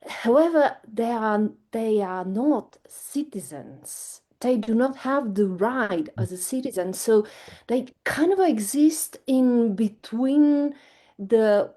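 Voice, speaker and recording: Woman, mellow voice, asks a long question in halting english. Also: on the high side, expressive. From Bulgaria. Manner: searching for words, light laughs. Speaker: conference audience member asking a question. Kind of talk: academic Q&A question.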